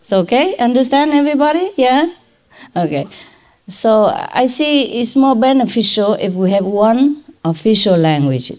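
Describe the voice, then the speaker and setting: High-voiced woman with a Vietnamese accent. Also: expressive, a little mellow. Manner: simple halting English with check-in questions. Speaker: spiritual teacher giving a lecture. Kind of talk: informal spoken lecture to a gathering. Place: large hall or tent with seated audience. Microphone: microphone on a boom stand.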